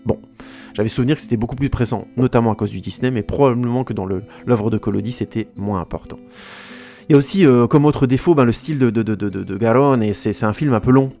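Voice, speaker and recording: A man's voice: medium pitch, quick pace, warm tone, faint music behind. A French-speaking man giving a casual, rambling film review for his podcast, often restarting his sentences and hesitating.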